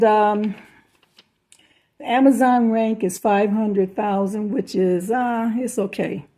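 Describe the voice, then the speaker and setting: A middle-aged woman with a hoarse voice, chatting casually through a thrift and yard sale haul with many fillers and restarts. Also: mid-range voice, warm tone. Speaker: reseller showing thrift hauls.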